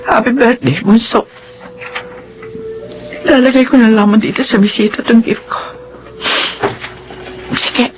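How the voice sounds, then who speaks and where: A woman's voice: a little high, measured pace, long pauses, dull audio, slight background noise, close to an everyday voice. An actress playing a loving, wounded middle-aged mother in a Filipino radio drama, speaking with melodramatic emotion. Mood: tender, hesitant.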